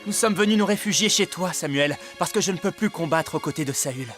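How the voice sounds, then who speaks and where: An actor playing a devout, earnest young hero in a French animated Bible drama. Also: male voice, fairly high pitch, fairly quick, lightly acted. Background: music.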